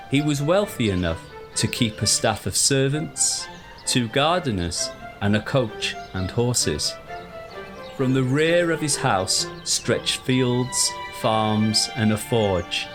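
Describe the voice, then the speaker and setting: A man reading a scripted ghost story in a measured, deliberate, formal storytelling manner. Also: medium pitch. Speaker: narrator. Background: music.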